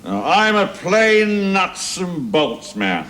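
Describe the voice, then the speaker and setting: A man's voice: high voice, sing-song, takes it slowly, a bit bright, exaggerated voice. A man acting in a 1970s television drama as an awkward, earnest inventor, hesitant but insistent. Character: earnest, awkward, pleading male engineer and inventor. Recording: noisy 1970s television soundtrack.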